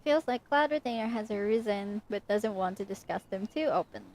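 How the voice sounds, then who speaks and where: A woman's voice: medium pitch, expressive, a little fast, background noise. A young woman giving casual livestream commentary as she plays a video game. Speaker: game streamer.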